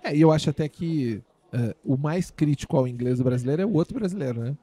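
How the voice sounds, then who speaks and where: Brazilian male podcast host, mellow, loose chatty delivery. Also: fairly high pitch, animated, quick pace, slightly rough, no room sound. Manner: chatty, jokey, asks long winding questions. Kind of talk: casual podcast interview. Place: studio.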